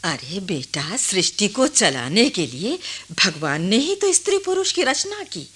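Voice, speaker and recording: Old village granny for a radio play, slow n husky, wise, fond, reflective pauses. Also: female voice, mid-range voice, big pitch swings, a bit bright, full character voice. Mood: philosophical, assured. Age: older. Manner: measured, reflective, with dramatic pauses. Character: old village grandmother, calm, philosophical widow, fond. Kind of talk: Hindi radio drama acting.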